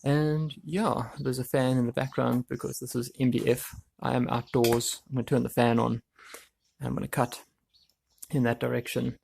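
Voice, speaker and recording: Man, casual DIY tutorial, slightly high pitch, gravelly fry, explanatory, thinking aloud. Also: level tone, normal speed, a little mellow, a little hiss or hum. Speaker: DIY woodworking video host. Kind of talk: casual hands-on tutorial talk.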